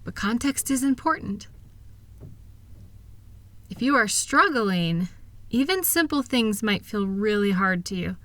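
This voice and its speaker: A kind, reassuring wife played by a woman speaks to her partner in an intimate audio roleplay. Her voice is clearly bright and sits at an average pitch for a woman. She is gentle, earnest and encouraging, in a very dry recording without room sound. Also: expressive, measured pace, pauses often, no room sound. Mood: understanding, reassuring. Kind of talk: intimate scripted roleplay audio, one-sided conversation.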